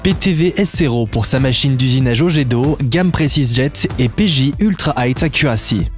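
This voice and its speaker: A man's voice: mid-range voice, expressive, moderate pace. Male voice-over reading nominees evenly, with music behind. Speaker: announcer.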